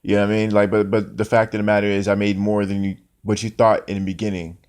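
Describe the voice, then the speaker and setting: A man's voice: fairly low pitch, little pitch movement, fast, a little mellow. A man talking casually and off the cuff as a sports vlogger, opinionated and conversational. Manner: conversational, opinionated, peppered with "man" and "you know". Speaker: boxing commentary vlogger.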